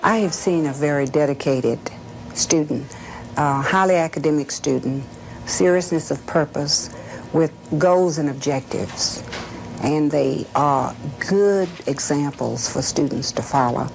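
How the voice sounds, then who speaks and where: A middle-aged woman, an educator, giving formal interview answers in emphatic, measured phrases. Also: sits low, creaky, a little mellow, slight background noise, rough recording. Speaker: school educator being interviewed.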